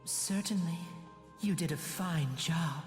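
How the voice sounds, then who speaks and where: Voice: mid-range voice, takes it slowly, lightly acted. An actor voicing a dreamy, sadistic demon in an anime game, speaking with languid, polite delight. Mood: softly menacing. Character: dreamy, sadistic, softly gloating demon who savors despair. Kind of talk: theatrical anime game voice acting. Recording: echoey hall reverb, music behind.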